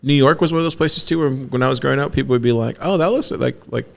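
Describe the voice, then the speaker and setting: A man from Texas with a gravelly, creaky voice at a mid pitch. He chats easily and often asks questions as he talks. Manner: chatty, questioning, drawn-out storytelling. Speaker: podcast co-host. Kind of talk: casual conversational discussion.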